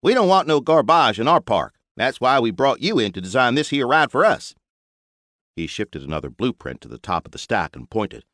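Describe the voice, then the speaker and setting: A man's voice: medium pitch, very animated, normal speed, a bit bright, no echo, studio quality, voice pushed up. Man doing a drawling backwoods mechanic. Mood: blunt. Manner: drawling rural dialect. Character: folksy bearded male mechanic, blunt.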